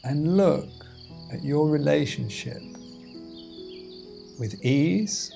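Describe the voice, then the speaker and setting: A man leading a guided meditation as a teacher, in measured, deliberate phrases with pauses between them. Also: fairly high pitch, creaky, mellow. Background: music and outdoor insect sounds.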